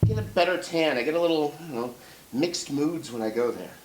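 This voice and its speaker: A man's voice: on the high side, animated, a little fast, a little mellow, slight background noise, close to an everyday voice. A man playing a troubled, wistful brother in his mid-thirties, hesitant and rambling, in a staged drama reading. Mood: wistful, casual. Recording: echoey room with music behind.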